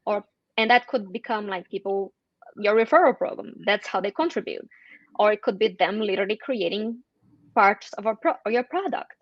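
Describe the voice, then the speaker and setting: A woman guest in an interview, speaking thoughtfully with frequent "like" fillers and slowing down for emphasis. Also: mid-range voice, dry recording, muffled recording. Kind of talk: interview conversation.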